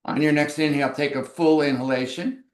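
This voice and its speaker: A man leading a yoga class through breathing exercises, giving slow, calm, measured cues. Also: a little high, roomy sound. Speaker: yoga instructor. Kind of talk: guided breathing instruction.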